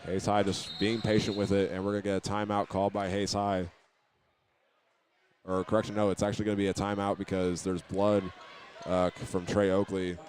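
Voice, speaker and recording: A man's voice: deep voice, completely flat delivery, normal speed, a little mellow. A man giving live play-by-play commentary of a basketball game in short, plain descriptive phrases. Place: indoor high school basketball game. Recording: live gym recording with background noise.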